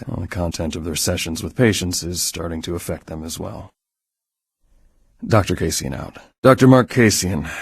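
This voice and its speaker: An actor playing a grave doctor on a Mars base, dictating a measured, clinical audio log for a video game. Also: male voice, deep voice, no echo, studio quality. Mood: grave, unsettled.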